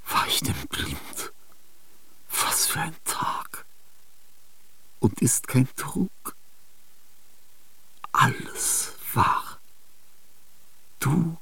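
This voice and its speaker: Gravelly old man, halting whispers. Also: fairly high pitch, fairly bright tone, no echo, far from the mic. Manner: halting, dreamlike monologue slipping into whispers. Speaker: radio drama actor. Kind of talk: radio play acting.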